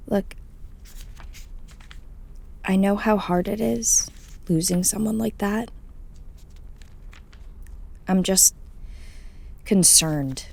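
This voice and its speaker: An actress playing a worried, gentle woman in an audio drama, speaking hesitantly and with concern. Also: medium pitch, drawn-out words, gravelly, pauses often, full character voice. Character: worried, gentle woman pleading with loved investigator.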